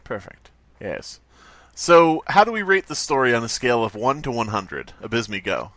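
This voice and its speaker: Animated man bantering on a podcast. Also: mid-range voice, moderate pace, dry recording. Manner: joking, swears casually, riffs on bits. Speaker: podcast host. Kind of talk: casual comedic banter and story reading.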